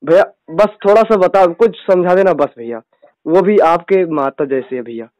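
Dry phone recording, pleading high-voiced Indian man. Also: moderate pace, a little mellow, dry recording, low-quality recording. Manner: pleading, deferential. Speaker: fan caller. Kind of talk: casual phone conversation.

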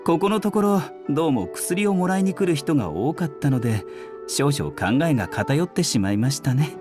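A man playing a courteous young herbal doctor in a video game, soft-spoken, polite and measured. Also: fairly high pitch, sing-song, over music. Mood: explanatory, modest. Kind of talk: Japanese game voice acting.

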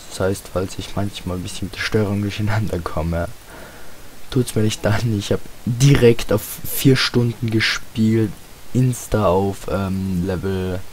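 Slightly hoarse teenage boy, low voice. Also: rough recording. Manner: rambling, self-deprecating, slangy. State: slightly hoarse, sore throat. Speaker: gaming video host. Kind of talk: casual Let's Play commentary. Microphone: home computer microphone. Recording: hissy home microphone with steady noise.

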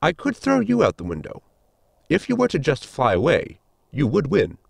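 A polite, scientifically minded crewman from a sci-fi comedy audio drama, played by a man. His formal, explanatory delivery swoops widely in pitch, with a creaky edge, in a dry close recording. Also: huge pitch swings, moderate pace, dry recording, full character voice. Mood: dry, matter-of-fact.

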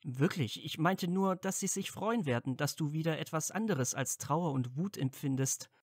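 A man's voice: on the high side, moderate pace, no echo, clean studio sound, close to an everyday voice. A man playing a caring, curious young wizard and supportive friend in a fan fiction audio drama, casual and earnest. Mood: apologetic.

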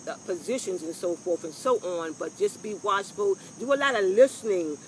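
An older woman with a loud voice, giving frank, rambling workplace advice to a phone camera outdoors, with salty asides. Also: lowish voice, slight background noise, poor audio. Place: outdoors on a lawn by trees. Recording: faint insects and crickets outdoors.

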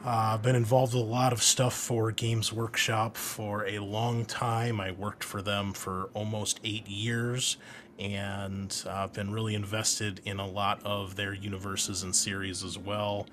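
Low-voiced man hosting a gaming podcast. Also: little pitch movement, unhurried, a bit bright, a little hiss or hum. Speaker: podcast host and game master. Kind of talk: casual scripted-outline introduction to a gaming channel.

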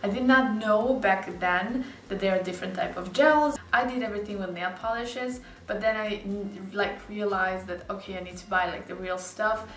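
Young Serbian woman in her twenties, English non-native, bright and bubbly, talks to camera from a sofa in a roomy living room. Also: medium pitch, normal speed, few pauses. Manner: chatty, laughing, many likes and ums. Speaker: beauty vlogger. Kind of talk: casual talk to camera. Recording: roomy echo, slightly distant mic.

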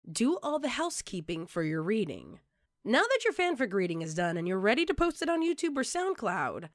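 A woman's voice: mid-range voice, no room sound, clean studio sound. A woman reading scripted tutorial narration, addressing the listener directly in an instructional way. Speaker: tutorial presenter.

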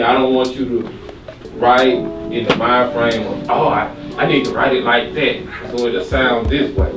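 A man in his forties leading a poetry workshop for children in a classroom, earnest and coaxing, often checking in with "right?". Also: mid-range voice. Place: classroom, indoors. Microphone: far camera. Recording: echoey room from far off, with music.

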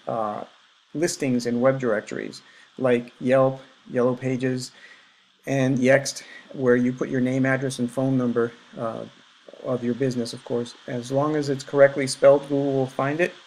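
A man working as a web designer and marketer, explaining search rankings in a casual, explanatory way with many filler pauses over a screen recording. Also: medium pitch, darkish tone, poor audio. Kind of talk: screen-share website review.

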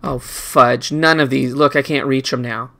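A man's voice: medium pitch, fairly even intonation, a little slow, vocal fry, a bit bright. A man giving casual video game commentary, rambling and muttering playfully to himself as he plays.

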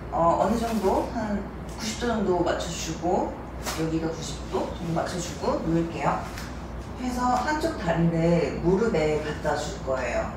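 A woman's voice: lowish voice, background noise. A young woman, a stretching instructor, patiently explaining calf stretches step by step while demonstrating them. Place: bright living room with wooden floor. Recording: echoey room, distant mic, loud music bed.

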